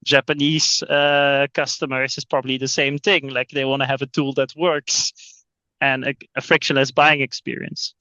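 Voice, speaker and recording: A man's voice: mid-range voice, little pitch movement, normal speed, bright, forceful tone, clear, resonant tone, no echo, dull audio. A man from Belgium, a sales executive talking as a podcast guest, often repeating words as he restarts his sentences. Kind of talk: casual interview talk.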